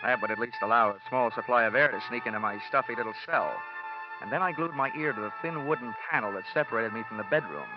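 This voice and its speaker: An actor playing a wisecracking, cynical insurance investigator in a mid-century American radio detective drama. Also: male voice, mid-range voice, fast talker, close to an everyday voice. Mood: wry, hardboiled. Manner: wry, cynical, slangy. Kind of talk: 1940s-50s radio detective acting. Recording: dull vintage radio recording, music behind.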